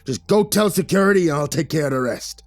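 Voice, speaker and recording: A gruff mob-style money man from an audiobook, acted out by a male narrator. He rumbles out slangy, bossy orders with plenty of swearing. He sounds menacing and pushes his words out loudly. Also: expressive, fast talker, no echo, faint music behind. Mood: emphatic, bossy. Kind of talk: audiobook character voice.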